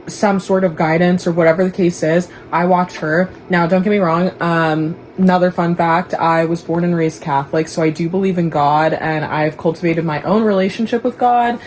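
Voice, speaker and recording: A woman's voice: fairly low pitch, steady tone, normal speed, few pauses, a little mellow, a little hiss or hum, poor audio. A young woman from Dallas, Texas, whose first language is Igbo, chatting candidly and rambling to the camera in a casual vlog. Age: young adult. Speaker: vlogger.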